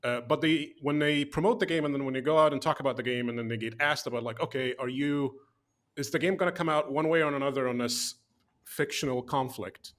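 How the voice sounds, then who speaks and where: A man co-hosting a casual video chat about games, talking at length and acting out quotes in a raised voice. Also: fairly quick. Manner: animated, acts out quotes in a higher voice. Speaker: podcast co-host.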